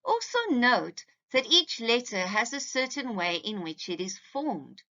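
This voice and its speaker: A woman's voice: on the high side, big pitch swings, measured pace, sharp tone, highs cut off. A woman teacher giving a scripted presentation to parents in a didactic, carefully enunciated way.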